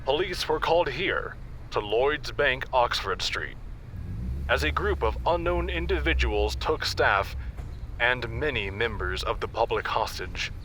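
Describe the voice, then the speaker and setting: A man's voice: mid-range voice, expressive, unhurried, a bit bright, low-quality recording. An actor playing a grave male news reporter delivering a scripted report on location in an audio drama. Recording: faint outdoor city traffic noise.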